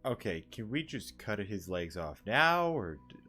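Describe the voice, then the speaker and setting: A man's voice: lowish voice, sing-song, unhurried, bright, forceful tone. A man doing casual, crude gameplay commentary with sarcastic asides. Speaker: gaming streamer.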